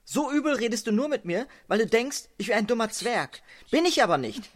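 A woman's voice: fairly high pitch, big pitch swings, brisk. A woman playing an eager, curious little male dwarf in a children's radio play, gushing and wide-eyed. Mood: plucky, boastful. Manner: gushing, wide-eyed, indignant when slighted.

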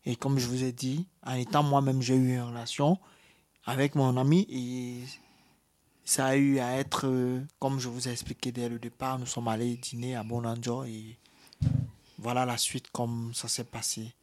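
A man with a Cameroonian French accent answers interview questions in a level, even-pitched voice. He talks hesitantly, filling pauses and restarting his sentences. His pitch sits in an ordinary middle range and barely moves. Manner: hesitant, many fillers and restarts. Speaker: interviewee, asylum seeker. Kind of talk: personal testimony in an interview.

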